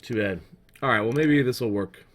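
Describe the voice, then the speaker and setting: A man's voice: mid-range voice, moderate pace. A man hosting a gaming channel, losing his voice as he thinks aloud in casual let's-play commentary. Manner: thinking aloud, musing while playing.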